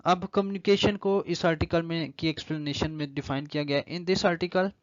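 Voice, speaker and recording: A man's voice: fairly high pitch, steady tone, moderate pace, dry recording. Pakistani man lecturing, slightly dull recording. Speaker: law lecturer. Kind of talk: explanatory lecture, reading statute aloud then explaining.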